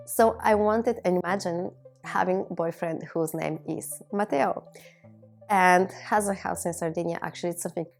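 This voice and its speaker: A woman in her thirties from Bulgaria, a native Bulgarian speaker, giving a reflective personal monologue to viewers in measured, deliberate phrases. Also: fairly low pitch, fairly even intonation, gravelly, faint music behind. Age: 30s. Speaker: video blogger.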